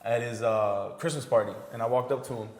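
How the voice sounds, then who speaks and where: A man's voice: medium pitch, fast. A man hosting a podcast, chatting casually and loosely with lots of "you know what I'm saying". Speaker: podcast host, barber. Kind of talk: casual chat. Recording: roomy echoey hall.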